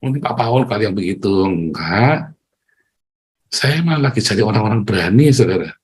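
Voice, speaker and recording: A middle-aged man from Tegal with a Tegal accent, giving a lively motivational talk online, speaking straight to his listeners and acting out the voices he quotes. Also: lowish voice, moderate pace. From Tegal, Central Java, Indonesia. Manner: animated, addresses listeners directly, acts out quoted voices, chuckles often.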